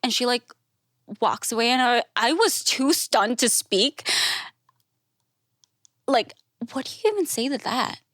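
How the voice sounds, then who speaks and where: A woman's voice: fairly high pitch, animated, a little slow, long pauses, a bit bright, no echo. Candid woman, dry close recording. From Thailand. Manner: informal and outspoken, with frequent "like" and acted-out quotes. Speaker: podcast guest, classical musician and educator. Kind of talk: candid conversational storytelling.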